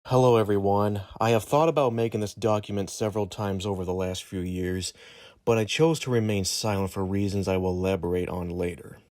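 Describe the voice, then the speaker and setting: A man reads a prepared written statement aloud. His voice sits fairly low, with formal, measured phrasing and very little room sound. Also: steady tone, a little mellow, no echo, clean studio sound. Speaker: guest reader of a document.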